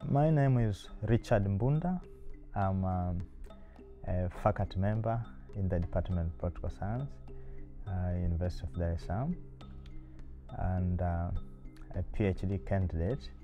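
Deep-voiced guy from tanzania, 30s, lots of uh and um, soft music behind. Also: dull audio. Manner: thoughtful, many filler pauses. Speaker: university lecturer and PhD candidate. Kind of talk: seated interview to camera. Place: small indoor room.

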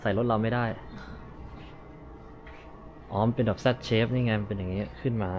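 A man's voice: lowish voice, fairly even intonation, moderate pace, dull audio. Chatty man vlogging on an action camera. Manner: chatty, thinking aloud while browsing. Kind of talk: casual vlog narration. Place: equipment shop, then outdoor lot.

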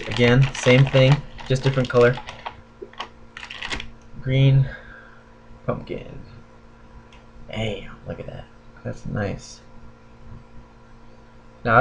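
A man's voice: mid-range voice, noisy background. A young man chatting casually and informally to viewers as a laid-back vlogger showing new purchases. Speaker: fishing vlogger. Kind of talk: casual unboxing chat to viewers. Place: small bedroom, indoors at a desk.

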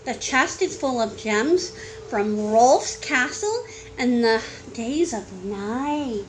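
A woman's voice: a little high, animated, takes it slowly, pitched below the performer's own voice, poor audio. A woman voicing a friendly, boastful old male steam engine from a children's storybook, with some background noise. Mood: proud.

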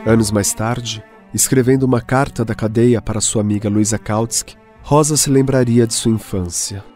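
A man with a Brazilian accent giving measured, scripted narration for a radio drama. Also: medium pitch, fairly even intonation, a little mellow. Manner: measured storytelling. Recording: music clearly behind voice.

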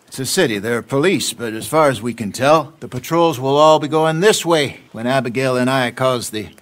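An actor playing a shrewd, eloquent older outlaw schemer in a video game, articulate and emphatic. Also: male voice, medium pitch, normal speed, lightly acted. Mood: brisk, assured. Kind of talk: dramatic video game acting.

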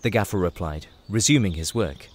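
A man's voice: sits low, fairly quick, husky. A man reading scripted storybook narration for an audio drama. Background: music.

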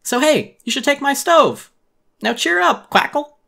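Casting: high-voiced, chirpy duck from a game story, flippant, slangy, loud and exclamatory. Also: male voice, sing-song, measured pace, creaky, exaggerated voice. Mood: excited, chirpy. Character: chirpy toupee-wearing male duck neighbor, flippant and excitable.

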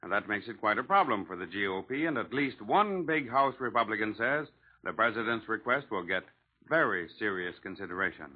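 A man's voice: lowish voice, lively intonation, fairly quick, slightly rough. An American man reporting political news in 1940s radio style, colloquial and wry, on a tinny old recording.

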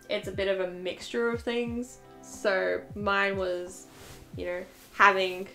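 A woman's voice: mid-range voice, a bit bright. A twenty-year-old woman hosting a casual podcast, rambling with frequent giggles and fillers. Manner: giggly, rambling, laughs often. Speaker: podcast host. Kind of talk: casual chat.

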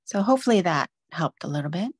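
Woman knitting teacher for a live demo; calm stepwise explainer, slightly low and fry-tinged. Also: quick pace, dry recording, clean studio sound. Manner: patient step-by-step explaining. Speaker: knitting instructor. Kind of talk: live online craft demo.

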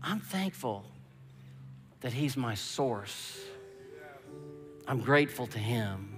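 A man's voice: medium pitch, very animated, slow pace, a bit bright, soft background music. A man in his fifties preaching a sermon from a large church stage, emphatic and calling out "come on" and "amen", mostly on a headset mic. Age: 50s. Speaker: pastor. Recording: long reverb of a large hall.

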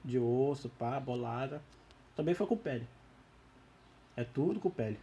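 Man, Brazilian accent, husky strained voice going hoarse, casual gaming commentary, slangy, excitable. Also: mid-range voice, a little slow. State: losing his voice. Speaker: gaming YouTuber.

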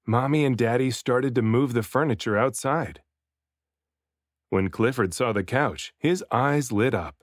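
A man reading a children's picture book aloud as an expressive storybook narrator, varying his delivery for emphasis. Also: mid-range voice, a little slow, no echo, clean studio sound. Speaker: children's book reader, dad and voice actor.

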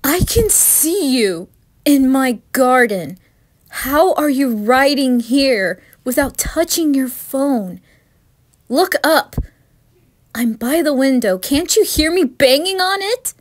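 A woman playing a terrified young woman trapped at home, intense, pleading and often hushed, in a horror story. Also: sits high, expressive, slow. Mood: angry, panicked. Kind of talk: dramatised horror story reading.

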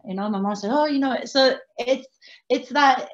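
A woman in her forties who runs a family bakery and restaurant, talking casually on a video call panel, animated and rambling, not a native English speaker. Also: high-pitched, moderate pace. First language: French. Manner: animated, gestures, rambling asides. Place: home room, indoors. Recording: slightly dull video call audio.

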